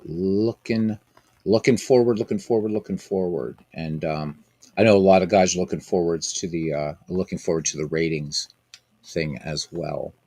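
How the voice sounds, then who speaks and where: Husky-voiced man chatting on a stream. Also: deep voice, fairly even intonation, normal speed, warm tone, no room sound. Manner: rambling, repeats phrases, chats with viewers by name. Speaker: streamer hosting a baseball sim game. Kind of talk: casual live-stream chat.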